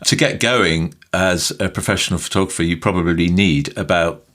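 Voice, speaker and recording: A man's voice: low voice, steady tone, normal speed, a bit bright. A man co-hosting a photography podcast, chatting casually in a jokey, rambling way. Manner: jokey, rambling, chuckles while talking. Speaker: podcast co-host. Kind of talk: casual chat.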